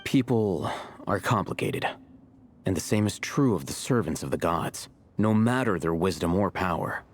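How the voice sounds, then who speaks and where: Deep, composed, grave scholar. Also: male voice, animated. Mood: calm. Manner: measured, solemn, level-headed. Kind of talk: animated video game voice acting.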